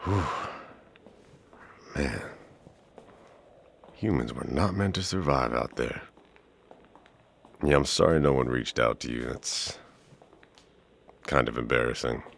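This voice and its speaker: Very deep, creaky-voiced flirty art professor played by a man. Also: a little hiss or hum, heavily acted. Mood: friendly, welcoming, then apologetic. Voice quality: very deep, gravelly.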